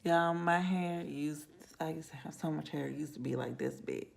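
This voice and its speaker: A woman vlogging in a casual ramble to camera, with a raspy, hoarse voice and frequent drops into whispers. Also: deep voice, moderate pace. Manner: rambling, self-talk with many trailing whispers. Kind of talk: casual vlog talk to camera.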